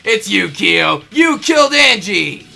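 A man's voice: high voice, big pitch swings, slow pace, creaky, sharp tone, voice pushed up, exaggerated voice. A man voicing an earnest teenage boy detective in a video game, laying out accusations. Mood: accusing. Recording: game music behind.

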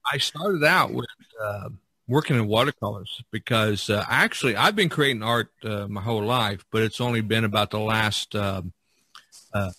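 A middle-aged or older man, a painter and podcast host, talking casually about art in a halting, searching way. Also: on the low side, pushed and bright, dry recording.

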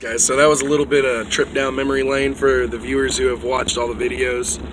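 A young man, vlogging loosely and profanely from inside a moving SUV, his voice worn and going hoarse. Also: mid-range voice, level tone, fairly quick, background noise. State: losing his voice. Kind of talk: casual car vlog. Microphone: phone held at arm's length.

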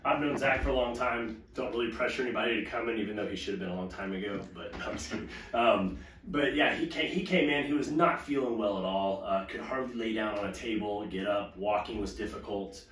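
A man in his forties talks through a treatment in a small clinic room, relaxed and joking. His voice sits a bit low and is picked up by a camera mic across the room. The room echoes, with a faint hiss behind him. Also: brisk. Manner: easygoing, joking, explains while working. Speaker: chiropractor. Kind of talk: casual clinic video talk. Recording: roomy, distant camera sound, faint noise.

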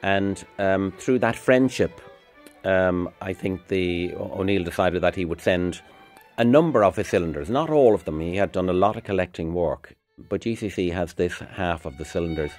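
A middle-aged man, speaking in a reflective, halting way in a documentary interview. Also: sits low, darkish tone, faint music behind. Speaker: university music academic.

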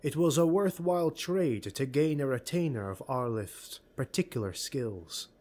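A man narrating a dark fantasy audiobook in a measured, dramatic storytelling manner. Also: medium pitch.

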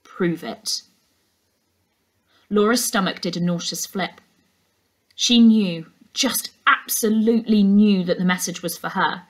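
A young woman reading a children's novel aloud as a teacher, in an expressive storytelling style. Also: medium pitch, moderate pace. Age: young adult. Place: furnished indoor room.

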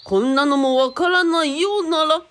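A woman's voice: high-pitched, normal speed, close to an everyday voice. A woman playing a boastful, eccentric rich guru in a radio drama, pompous and giggly, with mock traditional-storyteller phrasing. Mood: pompous, teasing.

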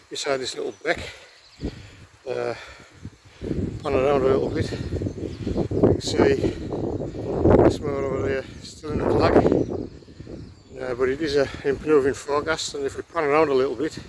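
A man's voice: medium pitch, fairly even intonation, normal speed, vocal fry, pauses often. A man narrating his fell walk casually on a camera mic outdoors, chatty and pointing out the views. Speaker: hiker filming his walk. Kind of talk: casual walking vlog narration. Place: outdoors on open fell paths. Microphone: camera mic, windy. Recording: faint outdoor noise.

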